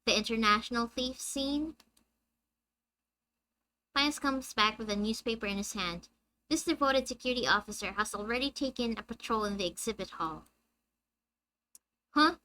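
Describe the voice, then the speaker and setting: A young woman with a high-pitched, squeaky voice, chatting with her viewers on a live game stream and reading the story text aloud. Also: pushed and bright, poor audio. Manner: chatty, reads dialogue aloud and answers chat.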